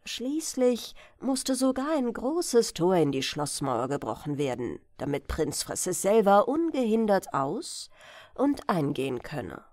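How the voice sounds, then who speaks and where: A woman narrating a fairy-tale audiobook for bedtime with expressive, deliberate phrasing. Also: medium pitch, no room sound, clean studio sound.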